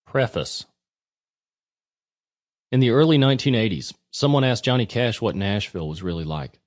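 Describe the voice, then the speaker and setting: Guy reading a nonfiction audiobook, really deep voice, scripted narration. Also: animated, normal speed.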